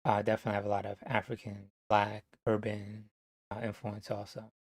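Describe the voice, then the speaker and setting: A man from New Jersey talking to camera in a rambling, casual monologue, halting and full of fillers, swearing now and then. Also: on the low side, completely flat delivery, no echo. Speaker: vlogger.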